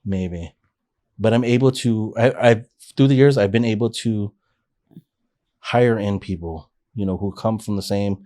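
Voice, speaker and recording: Low-voiced man telling his story. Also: unhurried, warm tone. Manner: earnest, rambling with frequent restarts. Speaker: podcast guest. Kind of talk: casual life-story interview.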